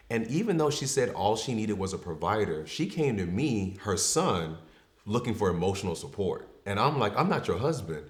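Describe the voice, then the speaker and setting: Deep-voiced man in his thirties, reflective. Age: 30s. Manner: reflective and open, with frequent fillers. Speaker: podcast guest. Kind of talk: candid personal storytelling. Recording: echoey room.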